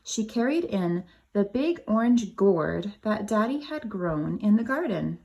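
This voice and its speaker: A woman's voice: mid-range voice, big pitch swings, unhurried, creaky, darkish tone. A woman teacher reading a picture book aloud to young children, adding simple explanatory asides.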